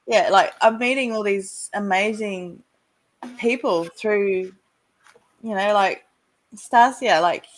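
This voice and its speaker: A woman with a stuffy voice from a cold, sharing casually in an online recovery meeting, halting and restarting often. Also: mid-range voice, a little hiss or hum, rough recording. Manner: halting, many restarts, laughs between sentences. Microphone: video call.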